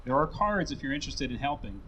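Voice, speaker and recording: American man, rally host, measured prepared remarks, occasional hesitation, mid-range pitch, clear street noise behind. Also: very animated, fairly bright tone. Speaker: rally host introducing speakers. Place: outdoors at a downtown courthouse rally. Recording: noisy outdoor recording with traffic. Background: traffic and outdoor ambience.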